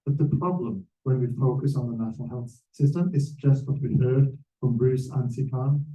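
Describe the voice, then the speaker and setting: Guy moderating a panel, very mellow dark voice, stop-start emphatic phrasing, far from mic and muffled. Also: mid-range voice, fairly even intonation, moderate pace. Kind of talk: public panel talk. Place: echoey room at a panel event. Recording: muffled, echoey room, distant mic.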